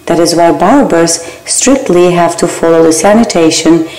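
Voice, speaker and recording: Low warm lady reading microbiology slides, steady pace, little slips, kinda gravelly. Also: normal speed, reverberant, poor audio. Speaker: barbering theory instructor. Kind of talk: reading exam slides aloud.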